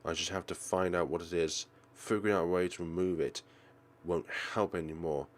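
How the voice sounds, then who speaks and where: Low-voiced man reading dramatically. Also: fairly quick. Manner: expressive, theatrical. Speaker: let's play host reading a visual novel aloud. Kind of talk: dramatic read-aloud narration.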